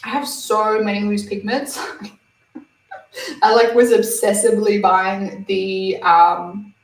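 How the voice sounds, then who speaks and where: A young woman hosts a casual beauty livestream, chatty and quick to giggle as she reads comments. Her voice sits at an ordinary pitch. It carries the echo of a room and sounds a little distant from the microphone. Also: level tone. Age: 20s. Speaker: beauty channel host. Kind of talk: casual livestream chat reading viewer comments. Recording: roomy, slightly distant mic.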